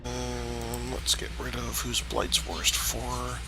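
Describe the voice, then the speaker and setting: A man's voice: medium pitch, slow. Scratchy-voiced man commenting on gameplay. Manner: relaxed, self-deprecating, talks to game characters. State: scratchy voice after being sick. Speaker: gameplay video host. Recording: voice over loud game music.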